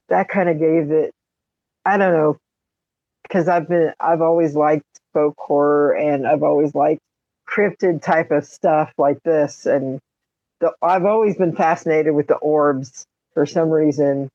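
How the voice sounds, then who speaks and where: Woman co-host, lots of vocal fry, gushy casual chat, dry kinda lo-fi mic. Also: low voice, unhurried, a little mellow, dry recording. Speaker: podcast co-host. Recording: dry, slightly dull lo-fi mic.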